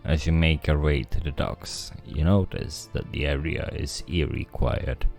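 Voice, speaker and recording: A man's voice: extremely low pitch, a little slow, gravelly, a little mellow. A man streaming a game, thinking aloud and reading the story text himself. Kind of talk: casual game commentary with read-aloud narration. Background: faint music.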